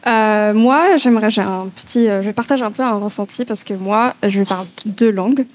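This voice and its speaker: A woman whose first language is Arabic talks casually at a medium pitch. She is hesitant and often searches for words as she shares her view. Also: normal speed, darkish tone. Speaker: panel participant. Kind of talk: casual discussion.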